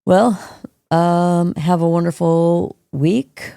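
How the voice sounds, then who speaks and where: Gravelly-voiced woman podcast co-host. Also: on the low side, steady tone, slow, dark tone, dry recording, clean studio sound. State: hoarse, froggy voice. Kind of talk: reading an article aloud, then casual chat.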